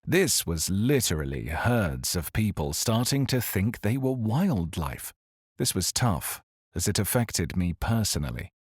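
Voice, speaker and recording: A man tells a story in the first person with a husky, low-pitched voice that rises and falls widely. His delivery is grave, measured and accusatory. The sound is dry and close. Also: very animated, no room sound. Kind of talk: scripted dramatic narration.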